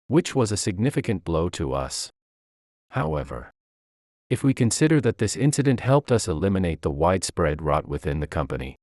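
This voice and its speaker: A man's voice: sits low, a little mellow, dry recording, clean studio sound. A man reading a scripted first-person story as narrator.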